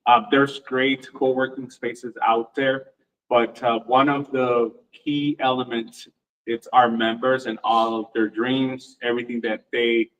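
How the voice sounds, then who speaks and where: A man's voice: mid-range voice, little pitch movement, a little slow, fairly bright tone, recorded from a distance, poor audio. A man who manages a co-working space answers interview questions hesitantly, with many fillers.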